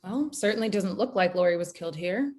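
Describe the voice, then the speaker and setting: A woman acting a bold, sharp, streetwise investigator in a screenplay table read, with punchy colloquial delivery. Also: fairly low pitch, expressive, fairly quick, lightly acted. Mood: matter-of-fact.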